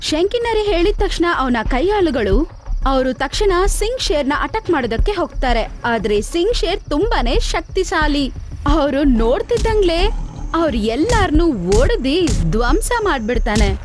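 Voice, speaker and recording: A woman's voice: high-pitched, very animated, normal speed, slight background noise. A woman narrating a scripted cartoon story for children. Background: music.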